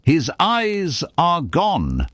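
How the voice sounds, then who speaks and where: A man's voice: fairly high pitch, wildly swooping pitch, very slow, a bit bright, no room sound, studio quality, voice pushed up, exaggerated voice. Man playing a pompous, swooping storybook mayor. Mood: disdainful. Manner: pompous, exclamatory. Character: pompous, self-important male town mayor.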